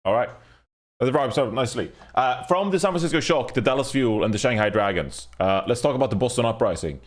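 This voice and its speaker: A man with a medium-pitched voice hosts an esports podcast. He presents informally, with an amused, jokey manner. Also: expressive, brisk. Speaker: podcast host.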